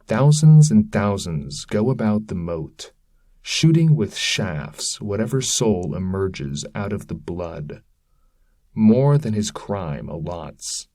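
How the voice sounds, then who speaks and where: A man voicing a calm, authoritative poet guide in a verse reading, explaining things solemnly and formally. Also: on the low side, slow pace, dry recording, studio quality, heavily acted. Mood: solemn, firm.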